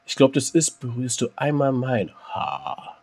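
A man's voice: medium pitch, big pitch swings, measured pace, creaky, a little mellow. A man co-hosting a casual podcast, joking around and breaking into sung snippets. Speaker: podcast co-host. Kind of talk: casual chat.

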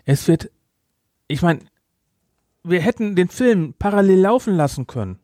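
A man's voice: high voice, expressive, measured pace, dark tone, no room sound, clean studio sound. A man co-hosting a casual film review podcast, talking emphatically and repeating words for effect. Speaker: podcast co-host.

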